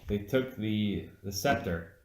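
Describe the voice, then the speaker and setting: A man with a fairly deep voice chats casually as a podcast co-host. He is blunt and quick to argue, with plenty of swearing. The room around him rings with clear echo. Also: a little mellow, slight background noise. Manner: blunt, argumentative, swears freely. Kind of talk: casual movie review chat. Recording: echoey room.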